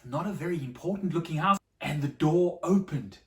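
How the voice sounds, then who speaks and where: Woman, lowish voice, dramatic children's storyteller, echoey room, slightly off mic. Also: lively intonation, normal speed, darkish tone, far from the mic. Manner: dramatic, simple explanations for children. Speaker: storyteller for a children's church show.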